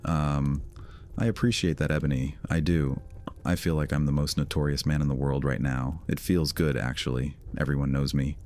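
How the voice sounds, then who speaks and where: An actor playing a brooding, sardonic vampire lord in a horror audio drama, speaking in a theatrical, deliberate, taunting manner. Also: male voice, very deep voice, steady tone, a little mellow. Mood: taunting, menacing.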